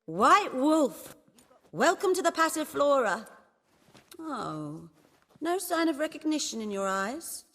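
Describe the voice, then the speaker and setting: Slow, courtly brothel hostess. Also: female voice, high voice, very animated, a bit bright, heavily acted. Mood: coy, welcoming. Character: courtly, flirtatious female hostess of a pleasure house. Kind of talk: dramatic video game dialogue.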